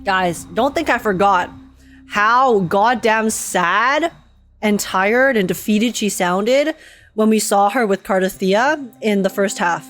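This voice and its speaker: A young woman streamer giving casual, slangy live reaction commentary on a game, expressive and emotionally invested. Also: medium pitch, takes it slowly. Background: game music.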